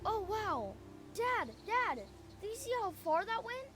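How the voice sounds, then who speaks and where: A woman's voice: very high-pitched, big pitch swings, unhurried, over music, full character voice. A woman playing a young boy in an audio drama, a sulky but eager son with a childlike, plaintive delivery. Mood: excited.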